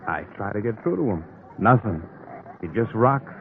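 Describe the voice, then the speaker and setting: A man's voice: on the low side, very animated, normal speed. An American man playing a plainspoken policeman giving a clipped report in 1950s radio drama style. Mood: weary, matter-of-fact. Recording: noisy, narrow-band vintage radio recording.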